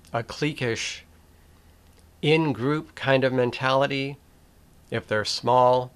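Slow, deliberate older man musing. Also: mid-range voice, fairly bright tone. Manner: deliberate, with pauses and restarts, wry. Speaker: video essay host. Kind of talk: slow reflective commentary to camera.

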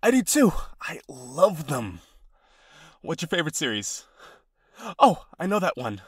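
A young man playing a friendly, sentimental old friend in an audio roleplay, casual and expressive with some hesitation. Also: a little high, a little slow, slightly rough, no room sound, studio quality, full character voice. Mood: surprised, excited. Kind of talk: ASMR-style roleplay, one side of a conversation.

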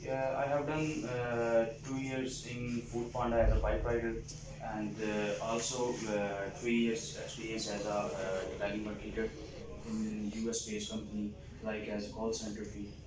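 A man's voice: lowish voice, flat delivery, moderate pace. A man in his twenties answering job interview questions over a video call, hesitant with many fillers, in a small office. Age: 20s. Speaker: job applicant. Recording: echoey room, distant mic, noisy.

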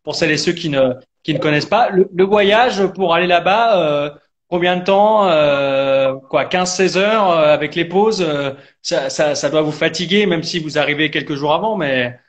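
Man, twenties, slightly high voice, halting casual interviewer. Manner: halting, repeats words while forming questions. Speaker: interviewer, host. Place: indoors, plain room. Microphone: video call, device at arm's length.

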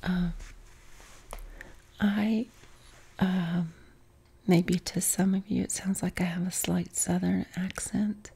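A middle-aged woman from West Virginia with a softened Appalachian accent, whispering an unhurried question-and-answer chat from her living room couch, close to the mic. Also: on the low side, flat delivery, creaky, husky. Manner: unvoiced whisper, unhurried with many ums. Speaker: ASMR creator. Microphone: close mic, camera framed on torso.

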